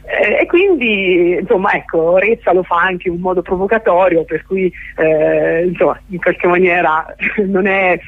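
A woman's voice: on the low side, steady tone, measured pace, hardly any pauses, no room sound. Woman on a narrow phone line. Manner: hesitant, searching for words, long-winded. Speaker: festival organiser interviewed as guest. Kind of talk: live radio interview, explanatory. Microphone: telephone. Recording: narrowband telephone line on radio.

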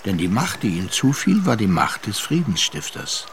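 A man narrating a literary radio drama with measured, deliberate phrasing and pauses. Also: lowish voice, big pitch swings, gravelly, noisy background.

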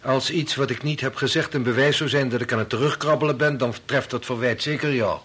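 An actor playing a sober, principled man in a Dutch radio play, formal and reasoning, with a husky voice. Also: medium pitch, fairly even intonation, a little fast, fairly bright tone, a little hiss or hum, lightly acted. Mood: firm. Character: sober, principled man among arguing friends.

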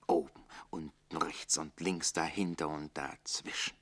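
An actor playing a jealous, witty, defiant servant in a theatrical radio play, with declamatory delivery that moves between hushed and forceful. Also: male voice, rumbling low, steady tone, measured pace, full character voice. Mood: anguished, hushed.

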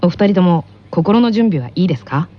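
Calm schoolboy anime character, played by a woman, lowered pitch, polite and measured radio hosting, slightly muffled sound. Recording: slightly dull radio recording, faint noise.

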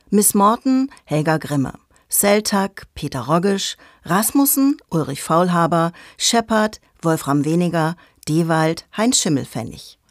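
A woman's voice: fairly low pitch, no echo, clean studio sound. A woman reading formal scripted credits as a 1970s German radio drama announcer.

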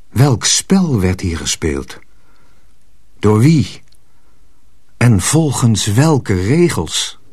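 A man narrating a radio drama in a measured, scripted storytelling manner. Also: lowish voice, darkish tone.

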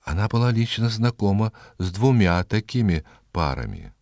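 A man narrating a fairy tale expressively, in a measured way with dramatic pauses. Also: lowish voice, big pitch swings, a little mellow, no echo, studio quality. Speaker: storyteller. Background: music.

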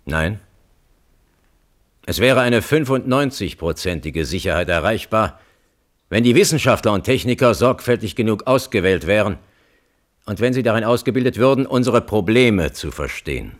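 Uncompromising military colonel, played by a man, lively intonation. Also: fairly low pitch, normal speed, lightly acted. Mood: insistent. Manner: formal, uncompromising, precise. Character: hardline male military security colonel testifying as witness. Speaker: witness at a hearing. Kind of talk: radio play courtroom acting.

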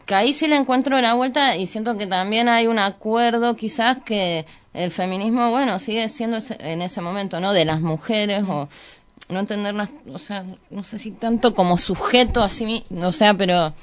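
A woman's voice: medium pitch, moderate pace, smooth. A woman with an Argentine Spanish accent interviewing in a casual discussion, rambling tentatively and checking for agreement. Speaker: radio show interviewer.

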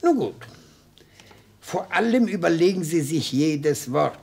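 An actor playing an elderly, genial and fussy retired defence lawyer in a German radio play, speaking in a courteous, expansive manner. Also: male voice, fairly high pitch, expressive, a little slow, creaky, a little mellow, husky, full character voice. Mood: admonishing. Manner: courteous, savouring, expansive, admonishing.

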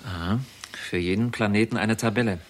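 Guy doing senior male astronomer in old radio drama, lowish voice, formal, measured, bit sceptical. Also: close to an everyday voice. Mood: sceptical, calm. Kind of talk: 1960s German radio drama acting.

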